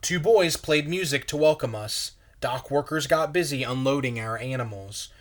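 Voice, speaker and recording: A man, a pastor, reading a children's story aloud in a measured, expressive way. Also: mid-range voice.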